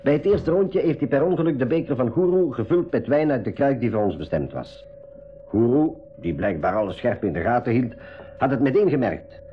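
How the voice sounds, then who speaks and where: Shrewd adventurer's companion voiced by a man, mid-pitched and very lively, explanatory school radio drama delivery, slightly dull recording. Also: brisk, faint music behind, natural voice. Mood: earnest explaining. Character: Coralis, shrewd observant companion recounting his escape.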